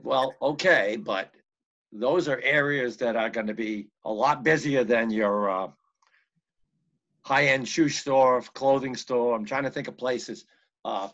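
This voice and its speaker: A man's voice: medium pitch, fairly bright tone. An American man with a mid-range voice chairs an online meeting. He talks in a loose, rambling discussion style. The sound is dull and band-limited, as on a video call. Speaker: board of health meeting chair.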